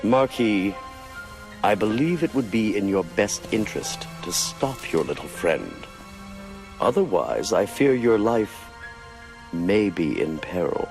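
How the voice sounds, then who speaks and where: An actor playing a suave, menacing villain in a video game, speaking with mock courtesy and condescension. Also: male voice, on the low side, sing-song, slow pace, a little mellow, faint music behind, full character voice. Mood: veiled threat. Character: suave, menacing male villain, a scheming researcher-sorcerer. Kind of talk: dramatic video game acting.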